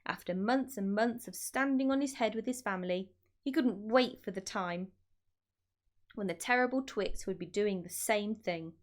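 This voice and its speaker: A woman reading aloud to children as an expressive storyteller, speaking directly to her young listeners. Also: mid-range voice, normal speed. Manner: addresses child listeners with questions.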